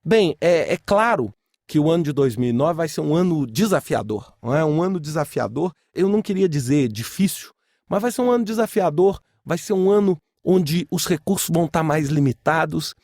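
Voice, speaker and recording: A man's voice: on the high side, lively intonation, normal speed, darkish tone, dry recording, clean studio sound. A man with a Brazilian accent hosting a podcast alone, speaking informally and emphatically, with repeated phrases and rhetorical questions. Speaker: podcast host. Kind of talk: informal solo podcast commentary.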